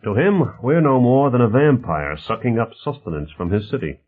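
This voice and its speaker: A man playing a genial, animated executive, phone-like sound. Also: medium pitch, moderate pace, voice pushed up, natural voice. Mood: reflective. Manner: expansive, assured, hospitable. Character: genial, confident male executive of a futuristic city-building. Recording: narrow phone-like band.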